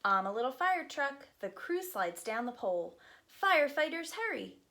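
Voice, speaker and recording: Woman playing eager little fire truck, children's storybook, bright high voice, emphatic sing-song rhyming, proud. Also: sing-song, normal speed, heavily acted. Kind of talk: children's picture-book reading.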